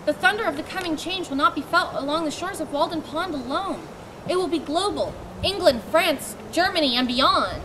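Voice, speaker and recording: An earnest, idealistic writer who lives alone in a woodland cabin, read by a woman. The voice is very high and lively, with declamatory lines delivered as a stage reading. Traffic rumbles clearly in the background. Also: moderate pace.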